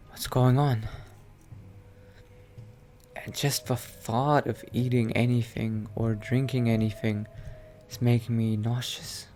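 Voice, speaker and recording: A man playing an anxious young mage apprentice in a video game roleplay, talking haltingly and repeating himself. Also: medium pitch, darkish tone. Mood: uneasy, puzzled. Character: anxious young male mage apprentice fearing he is becoming a vampire.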